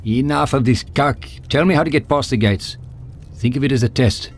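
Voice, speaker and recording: A man's voice: mid-range voice, moderate pace, slight background noise, lightly acted. An actor playing a blunt, impatient male traveller who talks in a curt, dismissive way.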